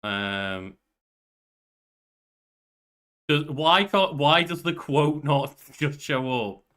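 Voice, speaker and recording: A man's voice: fairly high pitch, animated, slow, sharp tone. A man in his thirties co-hosting a podcast, chatting casually and feeling for his words as he goes. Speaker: podcast co-host. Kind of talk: casual chat. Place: indoors at a desk, on a video call.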